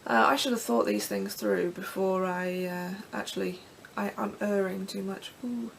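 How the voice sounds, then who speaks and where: Young woman, croaky, gravelly, slow, casual vlog chat, faint room hiss. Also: medium pitch, steady tone, low-quality recording. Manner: chatty, self-deprecating, many ums and restarts. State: croaky throat, coughing. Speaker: pagan vlogger. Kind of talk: casual rambling vlog answering questions. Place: bedroom in front of her altar.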